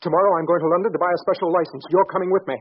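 An actor playing an agitated, domineering village doctor in a 1940s radio drama, with a clipped, urgent manner. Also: male voice, high-pitched, fairly even intonation, racing, husky. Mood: desperate, commanding. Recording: muffled 1940s radio broadcast, music behind.

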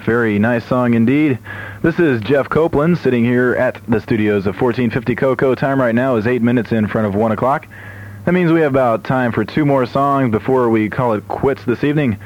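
A man's voice: mid-range voice, fairly quick, muffled recording. A man working as a radio DJ, chatting between records in a studio, on an old AM aircheck recording. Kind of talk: radio music DJ chat. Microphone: studio broadcast microphone.

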